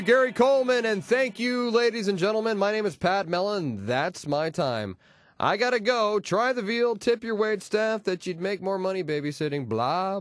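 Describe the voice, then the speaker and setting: Guy djing a comedy station, pretty high voice, cracking jokes, punchy, super dry studio mic. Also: no echo. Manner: punchy, wisecracking delivery. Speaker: radio host and DJ. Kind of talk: comedy radio air-check patter with jokes. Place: radio studio. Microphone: close radio studio microphone.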